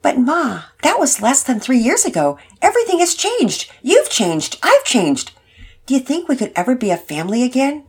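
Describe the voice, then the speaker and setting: A woman's voice: high voice, wildly swooping pitch, normal speed, darkish tone, breathy edge, pitched above the performer's own voice, exaggerated voice. A woman voicing an earnest, eager teenage boy who stammers when moved. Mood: pleading. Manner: enthusiastic, stammering.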